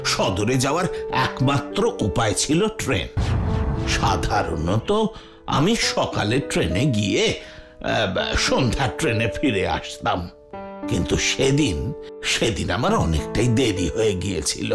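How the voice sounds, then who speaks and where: A man playing an older uncle who recounts his frightening experience dramatically, with halting pauses. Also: on the low side, very animated, vocal fry, over music, full character voice. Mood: haunted. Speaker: storyteller to listening youngsters. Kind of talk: dramatised horror storytelling.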